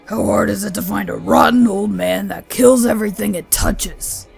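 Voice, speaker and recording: A woman voicing a sardonic male agent with a rusty, creaking voice in a horror radio play. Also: medium pitch, very animated, normal speed, a little mellow, soft background music.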